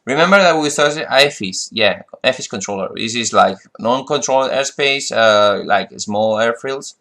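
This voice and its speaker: A man's voice: mid-range voice, animated, moderate pace, bright, forceful tone. Frustrated man. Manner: frustrated, rambling with restarts and fillers. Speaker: flight sim vlogger. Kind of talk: casual monologue.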